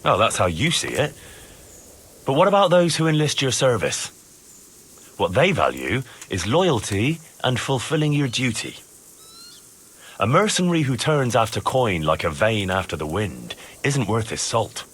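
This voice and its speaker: Earnest, husky young soldier. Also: male voice, mid-range voice, very animated, unhurried, a bit bright, full character voice. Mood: reproachful. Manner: earnest, challenging, argumentative. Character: earnest, principled young mercenary soldier, reproachful and loyal. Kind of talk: dramatic video game dialogue.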